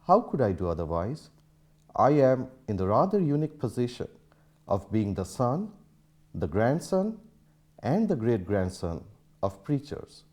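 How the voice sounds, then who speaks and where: Male reader, warm dark tone, animated intonation, formal letter text. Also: on the low side. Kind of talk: public reading of a historic letter.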